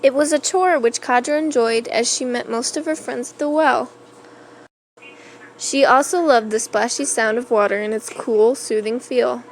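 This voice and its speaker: A woman's voice: a little high, slight background noise. A woman reading a children's story aloud as narration. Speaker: storybook reader.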